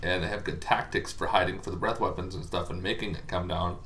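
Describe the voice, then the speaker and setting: Very deep, bright-voiced man explaining casually. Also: fairly even intonation, fast. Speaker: dungeon master explaining tabletop monster lore. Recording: faint background noise.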